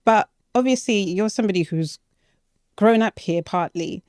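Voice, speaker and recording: A woman's voice: mid-range voice, normal speed, darkish tone, no room sound, studio quality. A woman interviewer speaking conversationally, putting informal, loosely phrased questions to her guest.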